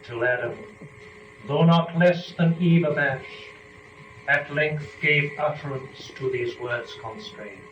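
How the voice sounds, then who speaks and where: A man narrating epic poetry as a dramatic reading, solemn and measured, with declamatory phrasing and weighty pauses. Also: on the high side, dull audio. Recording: echoey room, distant microphone, clear noise.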